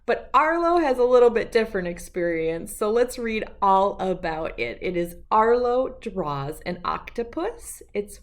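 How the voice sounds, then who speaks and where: A woman reading a children's storybook aloud with expressive, deliberate, emphatic phrasing. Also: mid-range voice. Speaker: children's book read-aloud host.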